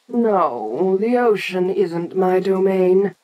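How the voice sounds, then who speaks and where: Woman as a slow-talking, haughty giant monster queen. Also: lowish voice, animated, dull audio. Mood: haughty, curious. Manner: haughty, deliberate, speaking down to a lesser creature. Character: ancient giant female kaiju monster, imperious, domineering, curious. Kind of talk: audio roleplay acting.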